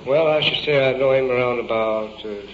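Male witness, medium pitch, halting and folksy in phrasing, on a dull old field recording. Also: background noise. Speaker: witness giving police statement. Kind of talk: unscripted, halting recollection.

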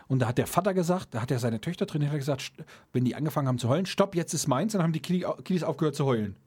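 A man's voice: on the high side, lively intonation, racing, a little mellow, slightly rough. A man chatting casually as co-host, blunt and ranting in colloquial speech. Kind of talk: casual chat.

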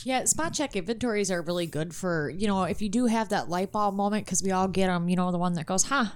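A woman's voice: mid-range voice, fast talker, smooth. A woman co-hosting a casual recovery podcast, affirming the others and explaining with homely images. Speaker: podcast co-host.

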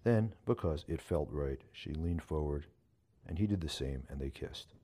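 Male narrator for literary fiction, very low pitch, dark mellow tone. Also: animated, normal speed, husky. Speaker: story reader. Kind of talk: scripted narration of a short story.

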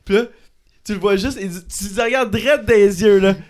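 A young man with a Quebec French accent bantering casually, blunt and quick to swear. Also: high-pitched. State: has a cold. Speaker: podcast participant.

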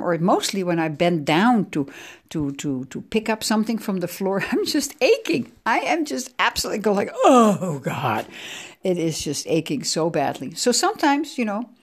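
A middle-aged woman from the Netherlands with a Dutch accent, hosting her own podcast in a chatty, rambling way, sore from aching ribs. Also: mid-range voice. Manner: chatty, rambling, self-correcting. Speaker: podcast host. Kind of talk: casual solo podcast monologue.

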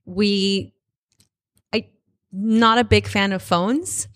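A woman's voice: mid-range voice, steady tone, very slow, fairly bright tone. A woman hosting a casual podcast, speaking in a candid, self-deprecating way. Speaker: podcast host.

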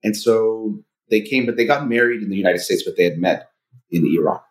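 A man's voice: lowish voice, fairly quick. A man in his forties from Southern California, a psychologist and podcast guest who first spoke Farsi, talking thoughtfully with many fillers. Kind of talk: casual podcast interview.

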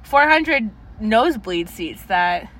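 A woman co-hosting a podcast in casual chat with friends, upbeat and quick to chime in with short replies. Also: medium pitch, lively intonation, fairly bright tone. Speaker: podcast co-host.

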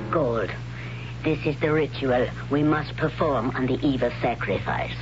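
An actress playing a stern high priestess in an old radio drama, speaking in a solemn, commanding, formal way. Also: female voice, a little slow, loud music behind the voice. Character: stern, imperious high priestess reciting holy law. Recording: muffled, noisy old radio broadcast with hum.

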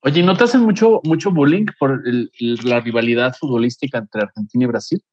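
A man's voice: medium pitch, fairly quick, darkish tone, no room sound, highs cut off. A man from Mexico who hosts a casual interview and asks playful, teasing questions. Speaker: host.

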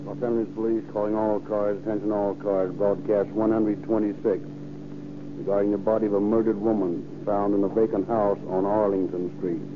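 A man's voice: fairly low pitch, little pitch movement, normal speed, phone-quality sound, natural voice. A man playing a police radio dispatcher, reading a clipped official bulletin to all cars in a 1930s radio drama. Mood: official, flat urgency. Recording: noisy humming vintage radio transmission.

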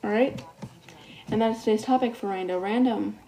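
A woman's voice: mid-range voice, normal speed, mellow, a little hiss or hum, poor audio. A teenage girl hosting a casual solo podcast, reading texts aloud in a chatty way while losing her voice. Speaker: podcast host.

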